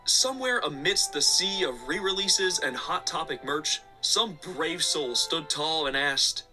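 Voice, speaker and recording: A man's voice: fairly high pitch, expressive, a little slow, bright, forceful tone, poor audio. Man narrating a video essay over music. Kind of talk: scripted video-essay narration with casual asides. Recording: background music under the voice.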